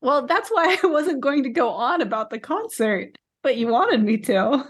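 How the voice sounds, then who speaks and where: A woman's voice: high-pitched, expressive, moderate pace, slightly rough. A woman co-hosting a podcast in casual chat, matter-of-fact with a dry, teasing streak. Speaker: podcast co-host.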